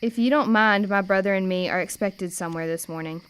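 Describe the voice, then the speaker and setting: A clear, smooth voice for a plucky, determined girl, played by a young woman. She is plain-spoken and folksy, in the style of an amateur radio play. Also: medium pitch, a little fast, a bit bright, natural voice. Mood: polite. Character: plucky, determined red-haired girl, older sister.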